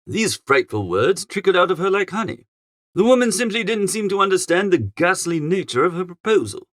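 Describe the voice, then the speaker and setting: A man playing a flustered, foppish young English gentleman who narrates a comic audiobook in a chatty, melodramatic, exasperated way. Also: sits high, big pitch swings, a little fast, no echo, studio quality. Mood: appalled.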